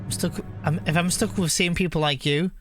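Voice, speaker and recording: A man live streaming a video game, chatting casually and reading the on-screen text aloud in a halting way, often correcting himself. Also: fairly high pitch, fairly even intonation, soft background music.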